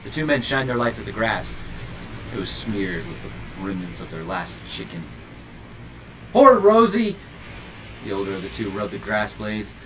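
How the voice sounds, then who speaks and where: A man's voice: lowish voice, normal speed, fairly bright tone. A man reading a scripted horror story in a dramatic, suspenseful way. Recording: noisy, with added ambient sound effects. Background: horror sound effects and ambience.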